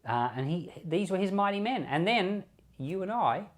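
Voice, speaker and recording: A man's voice: high-pitched, animated, normal speed. An Australian man speaking as a pastor, explaining scripture in an informal, conversational preaching style, often repeating short phrases. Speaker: pastor and Bible podcast host. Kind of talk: informal Bible commentary and preaching.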